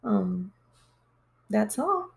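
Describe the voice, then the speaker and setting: A woman in her forties who grew up in Mexico, speaking as a guest in a casual podcast chat. Her voice carries a gravelly creak, and she explains things earnestly and at length. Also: mid-range voice, sing-song, drawn-out words. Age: 40s.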